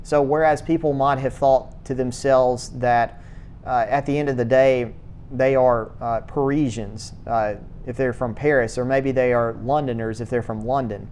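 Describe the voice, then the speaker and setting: A man giving a church history lecture to a class, speaking in a halting way, restarting words and filling pauses with uh. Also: mid-range voice, fairly even intonation, darkish tone, slight background noise. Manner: halting, repeats words, many uh fillers.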